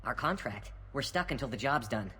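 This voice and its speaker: An actor playing a blunt, bitter, overworked construction worker in a video game. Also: male voice, medium pitch, lively intonation, a little fast, sharp tone, slightly rough, faint music behind, exaggerated voice.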